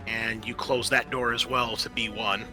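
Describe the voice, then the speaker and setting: A man's voice: medium pitch, flat delivery, moderate pace, sharp tone, poor audio. A man narrating a tabletop roleplaying game as game master, casual and descriptive in his delivery. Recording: music under the voice. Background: background music.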